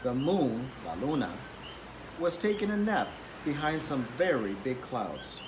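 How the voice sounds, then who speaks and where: Animated man reading a children's story. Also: medium pitch, unhurried. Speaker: story reader. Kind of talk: children's picture book read-aloud. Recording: clearly noisy background.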